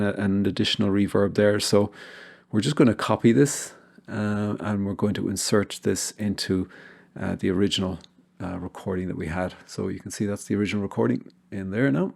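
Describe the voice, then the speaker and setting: A man with a low, gravelly voice talks through an informal software tutorial. He hosts it himself, explaining each step in a conversational way, with noticeable fry in his delivery. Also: fairly even intonation, fairly quick. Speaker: tutorial host.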